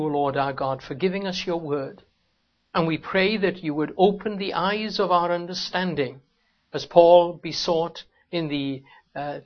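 A Welsh man preaching a sermon in a church, talking to the congregation in a conversational way and asking rhetorical questions. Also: lo-fi sound. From Wales. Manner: conversational, rhetorical questions, rises sharply in pitch for emphasis. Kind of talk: sermon with opening prayer. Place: church hall.

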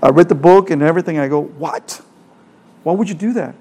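Salvadoran accent guy preaching, highish voice, keeps asking the crowd questions, repeats himself. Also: a little fast, a little mellow, slightly rough. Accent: Salvadoran Spanish. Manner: earnest, repeats phrases, asks rhetorical questions. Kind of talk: sermon.

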